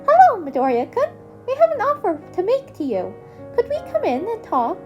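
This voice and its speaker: A woman plays a cheerful little creature principal in an audio story. The voice is very high and swings lively as it talks in polite, eloquent phrases. Music plays softly behind it. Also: normal speed, full character voice. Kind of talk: acted storybook dialogue.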